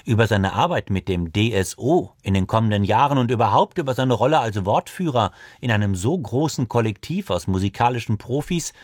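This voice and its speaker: A man's voice: lowish voice, lively intonation, a little fast, few pauses, no room sound. Male radio presenter, dry close sound. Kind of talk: scripted narration.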